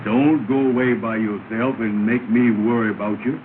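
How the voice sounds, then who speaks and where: A man's voice: mid-range voice, steady tone, normal speed, close to an everyday voice. An actor playing a bossy, falsely confident man who keeps giving orders and reassurances, in a 1930 film comedy. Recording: scratchy tinny 1930 film soundtrack with hiss.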